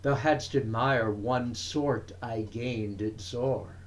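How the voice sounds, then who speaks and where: A man giving a dramatic reading of verse, in measured, deliberate phrases broken by pauses. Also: medium pitch. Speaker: reader of poetry.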